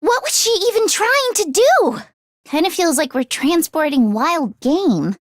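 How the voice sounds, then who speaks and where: A woman voicing an earnest, excitable teenage girl fighter in a video game, caring and anxious, with expressive, emotive delivery. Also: very high-pitched, a little slow, no echo, studio quality, full character voice. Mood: uneasy. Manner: expressive and emotive, with sighs and gasps. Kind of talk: English video game voice acting.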